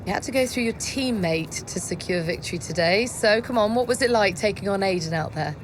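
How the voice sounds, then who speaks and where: A woman playing a brisk, probing sports reporter in a game, conducting a post-race interview. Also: medium pitch, noisy background, close to an everyday voice. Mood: probing, polite.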